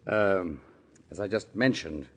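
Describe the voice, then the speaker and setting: An actor playing a polished but uneasy male executive who hedges with many pauses, in 1940s radio acting style. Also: sits low, a bit bright, heavily acted. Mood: guarded. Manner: smooth, hesitant, with many uh's.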